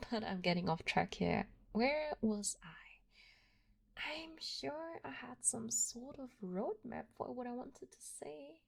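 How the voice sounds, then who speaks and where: A woman playing an obsessive, lovestruck yandere kidnapper in an ASMR roleplay, doting and possessive, with a breathy voice that often drops into whispers. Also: medium pitch, heavily acted. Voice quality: breathy, frequently dropping into whispers. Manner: soft, coaxing, slow, with hesitant pauses. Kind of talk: ASMR roleplay, intimate one-sided address to the listener.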